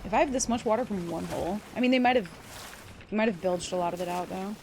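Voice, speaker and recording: A woman's voice: mid-range voice, fast, over music. Chatty, swearing woman streamer. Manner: chatty, excitable, swears freely. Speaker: livestreamer. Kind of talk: casual gameplay commentary.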